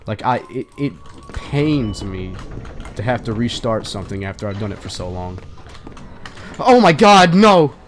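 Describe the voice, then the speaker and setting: A man's voice: medium pitch, sing-song, measured pace, a little hiss or hum. Swearing, raging young man gaming. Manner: swears a lot, bursts into angry shouting. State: stuffy from the flu. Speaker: gaming channel host. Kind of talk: casual gaming commentary.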